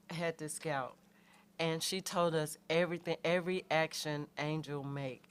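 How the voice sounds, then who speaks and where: A middle-aged woman, a head coach answering questions in a halting way, with many fillers and restarts. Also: low voice, clear, resonant tone. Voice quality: soft voice. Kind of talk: postgame press conference answers.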